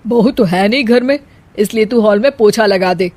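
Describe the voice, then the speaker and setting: A woman voicing a kind but shrewd middle-aged mother-in-law in an animated comedy story, talking in a homely, elder-sister way. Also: medium pitch, normal speed, no room sound, lightly acted. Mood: bossy.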